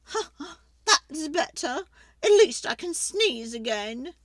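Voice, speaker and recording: A woman's voice: high-pitched, very animated, slow, fairly bright tone, no echo, clean studio sound, voice pushed up, exaggerated voice. A woman voicing a dramatic, exasperated little old lady in a children's storybook, with a theatrical, pleading manner. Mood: relieved, delighted. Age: older. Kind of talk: children's storybook read-aloud.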